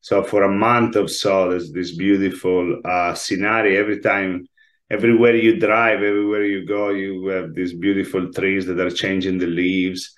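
A man's voice: low voice, little pitch movement, normal speed. A man in his forties with an Italian accent, chatting as a guest chef over a video call from home. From Italy. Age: 40s. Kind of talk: casual interview chat. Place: home room, indoors. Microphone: video call, laptop mic.

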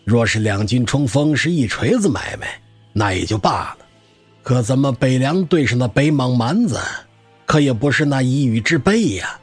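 An actor playing a hearty old wandering spear master in an audio drama, garrulous, folksy and emphatic. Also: male voice, medium pitch, lively intonation, moderate pace, husky, no echo, faint music behind. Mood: opinionated, proud.

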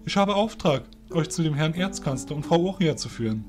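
A man's voice: a little high, expressive, fairly quick, darkish tone, faint music behind, natural voice. A man playing a courteous but stern male fairy warrior with formal, archaic speech in a fantasy audio drama.

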